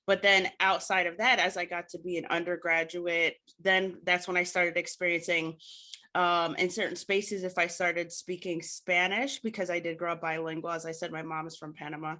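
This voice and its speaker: Bright-voiced American woman, earnest and articulate. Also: fairly low pitch, flat delivery, moderate pace. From United States. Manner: earnest, articulate, proper diction. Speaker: podcast guest, literature scholar. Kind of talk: reflective personal storytelling in a conversational interview.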